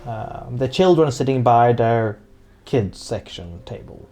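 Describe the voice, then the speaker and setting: A man's voice: mid-range voice, expressive, unhurried, mellow. A man working as game master, setting scenes off the cuff and searching for words as he goes. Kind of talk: improvised scene-setting for a tabletop roleplay audio drama.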